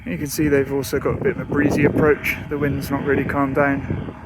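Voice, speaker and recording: A man's voice: medium pitch, completely flat delivery, fairly quick. A man who is a pilot, giving casual vlog commentary outdoors at an airfield. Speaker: pilot and vlogger. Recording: clear outdoor ambient noise.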